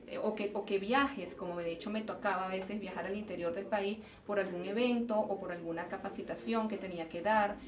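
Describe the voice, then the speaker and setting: A Venezuelan woman, a geological engineer, answering interview questions in a thoughtful way, often restarting and repeating words. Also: mid-range voice. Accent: Venezuelan Spanish. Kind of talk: conversational interview. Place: event venue, indoors. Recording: roomy, distant mic, faint noise.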